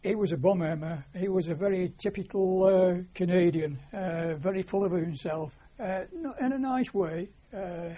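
An older man with a Lancashire accent, reminiscing as a war veteran in an interview, pausing often on fillers. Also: high voice, dark tone, breathy edge. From Lancashire, England. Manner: hesitant, many filler pauses. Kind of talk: oral history reminiscence. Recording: dull lo-fi recording.

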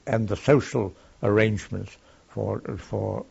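An older man with a gravelly, husky voice, recalling his wartime service haltingly, with many pauses and fillers. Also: lowish voice, fairly even intonation, darkish tone. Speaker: war veteran recounting service. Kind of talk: oral history recollection. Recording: dull lo-fi archive tape.

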